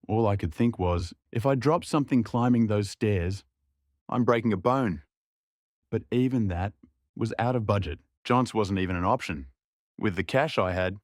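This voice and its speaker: A man's voice: fairly low pitch, darkish tone, no room sound, studio quality. Subdued young man narrating inwardly. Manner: subdued, reflective inner monologue. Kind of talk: scripted audio drama narration.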